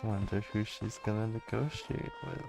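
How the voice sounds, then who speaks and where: A woman's voice: very deep voice, normal speed, vocal fry, warm tone, faint music behind. A woman making casual, offhand co-commentary during a game playthrough.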